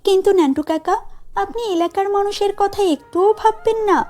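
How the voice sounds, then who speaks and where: Very high-pitched earnest young woman acted out. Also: normal speed, mellow, soft background music. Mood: pleading. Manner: polite, earnest, moralising. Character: earnest, principled young woman social worker. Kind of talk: children's cartoon voice acting.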